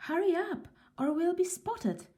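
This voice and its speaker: A woman voicing a tiny, busy, self-pleased elf from a children's storybook, in a proud, expressive manner. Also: very high-pitched, a little slow, pitched above the performer's own voice. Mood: hurried.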